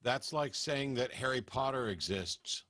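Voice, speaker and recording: A man's voice: mid-range voice, animated, unhurried, sharp tone, no echo, studio quality. A man hosting a call-in show, answering callers bluntly and dismissively. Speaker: host.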